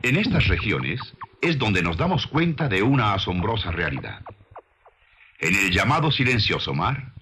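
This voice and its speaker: A man reads scripted narration in a low, husky voice with a bright, forceful edge. He is deliberate and didactic, shaping his lines like a sermon and leaning on rhetorical emphasis. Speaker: documentary narrator and preacher.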